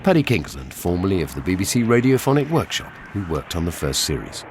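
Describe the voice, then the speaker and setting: A man's voice: low-pitched, big pitch swings, fast, noisy background. A man narrating a scripted radio documentary.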